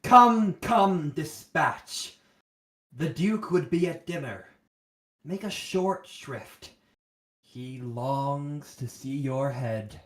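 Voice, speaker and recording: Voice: medium pitch, animated, very slow. A student actor playing a brusque, menacing executioner's escort in a Shakespearean radio play, giving curt commands. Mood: menacing, impatient. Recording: echoey room.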